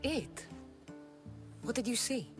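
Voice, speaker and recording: An actress playing a confident mercenary warrior in a video game, speaking in a dry, teasing, matter-of-fact way. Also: female voice, a little high, big pitch swings, takes it slowly, music in the background. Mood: curious. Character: confident woman mercenary warrior, wry and blunt. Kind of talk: video game cutscene acting.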